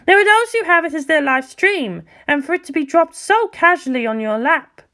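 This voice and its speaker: Woman doing old monk teacher guy, sharp n high, really swoopy delivery, needles you with questions, audio story. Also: lively intonation, normal speed, heavily acted. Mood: sardonic probing. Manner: pointed, challenging questioning. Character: old male monk, sardonic, probing teacher. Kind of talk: dramatised fan fiction reading.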